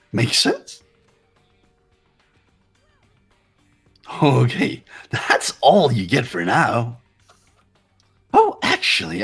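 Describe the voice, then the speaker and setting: A man's voice: mid-range voice, a bit bright, husky, full character voice. A man voicing a gleefully menacing, mocking robot bear headmaster in a fan-dubbed game, theatrical and teasing in sing-song swoops. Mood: sly, gleeful. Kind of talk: fan voice acting of a visual novel game.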